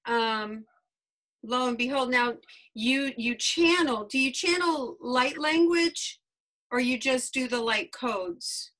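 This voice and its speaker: A woman hosting a casual chat over a video call, halting with fillers. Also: a little high, pushed and bright. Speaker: host.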